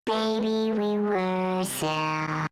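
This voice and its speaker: Slow, low robotic female singing vocal. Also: over music. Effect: robot voice processing with pitch correction. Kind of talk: sung pop vocal, repeated looped phrase.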